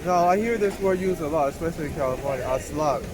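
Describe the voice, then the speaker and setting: Street interviewer, male, slightly high voice, short prompts, traffic behind. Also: quick pace. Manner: brief prompts and frequent backchannel replies. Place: outdoors on a city street.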